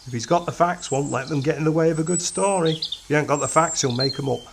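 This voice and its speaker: A reader puts on a blunt, sardonic male time-traveller with a gruff, offhand delivery. His voice sits a little higher than a typical man's, with a soft hiss in the background. It suits a storybook reading. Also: quick pace. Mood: dry. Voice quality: gruff, casual, dry.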